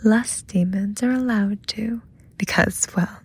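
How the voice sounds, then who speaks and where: A woman's voice: medium pitch, takes it slowly, darkish tone, slightly rough. A woman voicing a seductive, possessive lust demon in a romantic ASMR roleplay, smug and teasing, often dropping into whispers. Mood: coaxing, intimate.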